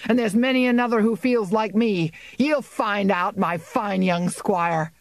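A reader voicing a defiant male tenant farmer of about thirty who speaks harshly and shouts in anger. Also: medium pitch, expressive, moderate pace, no room sound, pitched above the performer's own voice, close to an everyday voice. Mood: furious. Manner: shouting, harsh and rough-spoken.

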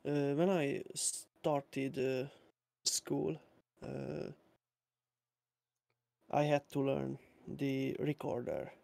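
A young man from Hungary, a Hungarian speaker, talking slowly with many fillers as a guest on a casual online interview. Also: medium pitch, creaky, darkish tone, no echo. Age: young adult. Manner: slow and hesitant, many uh fillers, nervous laughs. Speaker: guest musician. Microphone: home mic with external sound card.

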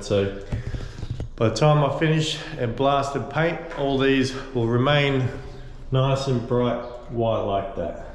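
Relaxed man vlogging in an echoey boat hull. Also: medium pitch. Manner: relaxed, rambling, with fillers. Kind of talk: casual show-and-tell narration. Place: on a steel boat under restoration, outdoors. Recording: echoey with long reverb, faint noise.

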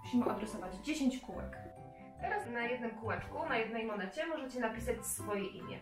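A woman with a fairly high voice talks young children through a simple activity. She addresses them directly with easy questions. The sound is roomy and slightly distant, with music clearly behind her. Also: animated, brisk. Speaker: children's lesson presenter. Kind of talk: hands-on craft and game instruction for children. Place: child's bedroom indoors. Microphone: camera a few metres away.

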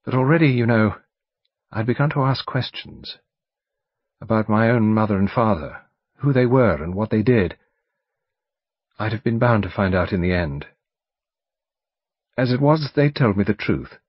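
A man's voice: fairly low pitch, animated, normal speed, pauses often, breathy edge, no echo. A man reading an audiobook, voicing an earnest, determined young woman who speaks insistently. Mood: grave. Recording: dull lo-fi recording.

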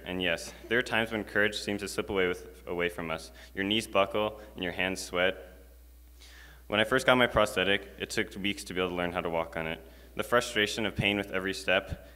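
A man's voice: sits low, bright, forceful tone. A teenage boy giving a prepared chapel talk at a stand mic, earnest and reading from notes with casual fillers. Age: teens. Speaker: student speaker. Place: school chapel, large indoor space. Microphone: stand mic at a lectern. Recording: long hall reverb.

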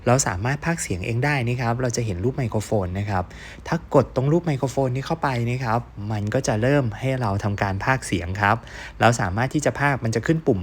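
A man teaching an online course as a step-by-step software tutorial, talking to learners in an encouraging, question-and-answer way. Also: mid-range voice, a little hiss or hum. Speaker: online animation course instructor.

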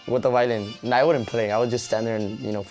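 A man's voice: medium pitch, steady tone, fast, darkish tone, faint music behind. A young man, talking casually in an interview as a student musician. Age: late teens. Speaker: high school mariachi violinist. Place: small studio room, indoors.

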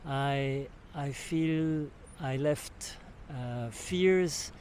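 A man's voice: on the high side, extremely slow, darkish tone, a little hiss or hum. An older man from Switzerland with a French accent gives a spoken testimonial outdoors at a beach camp, speaking into a handheld recorder and often searching for words and restarting. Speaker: retreat participant giving a testimonial. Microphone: handheld recorder held near the mouth.

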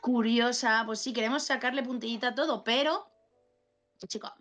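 A woman's voice: on the high side, moderate pace, fairly bright tone, soft background music. A young woman from chatting animatedly on a headset during a video call, swearing casually and acting out quotes. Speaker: podcast co-host. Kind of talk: casual chat. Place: home room, indoors. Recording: dull lo-fi call audio.

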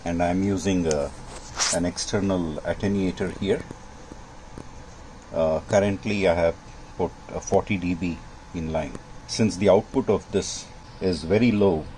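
Low-voiced older man, noisy recording. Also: fairly even intonation, unhurried, long pauses, dark tone. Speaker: amateur radio hobbyist presenting. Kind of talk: informal technical demonstration. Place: indoor home radio workshop. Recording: clearly noisy home workshop recording.

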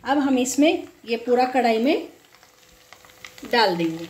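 High-voiced woman giving cooking instructions. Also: very animated, a little slow. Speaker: home cook, cooking show host. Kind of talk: casual recipe demonstration. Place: home kitchen, indoors. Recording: echoey room.

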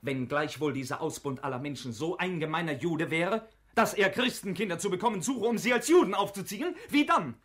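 A young crusader knight in a classical radio play. His voice sits high for a man, and he speaks with indignant, accusing heat and open scorn. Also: animated, fairly quick, a bit bright. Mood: agitated. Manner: vehement, scornful. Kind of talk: classical German radio drama acting.

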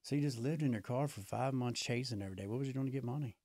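A man's voice: medium pitch, very fast, warm tone, no room sound. A man in his forties hosting a casual interview, laid-back and profane but supportive, on a studio mic. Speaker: podcast host. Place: podcast studio, indoors. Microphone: studio mic on boom arm.

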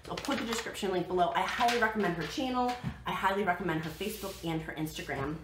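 An American woman with a fairly low voice talks to camera in a casual vlog style. She is chatty and emphatic, often restating a point. The living room adds noticeable echo, with some background noise. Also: fast. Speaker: vlog host giving budget diet tips. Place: living room at home, indoors.

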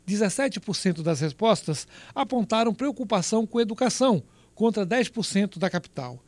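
A male TV news reporter reading a survey report in a measured voice-over, with a Brazilian Portuguese accent. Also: high-pitched, animated. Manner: measured, reads out figures crisply.

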